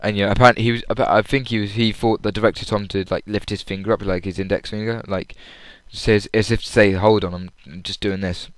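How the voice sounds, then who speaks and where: A man with a fairly low, clean voice chats casually to himself on a personal audio log. He rambles through his story in an informal way, and the recording is close and dry with little room sound. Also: steady tone, brisk, few pauses, dry recording. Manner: rambling, acts out quoted lines loudly. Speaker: audience member recapping a comedy show.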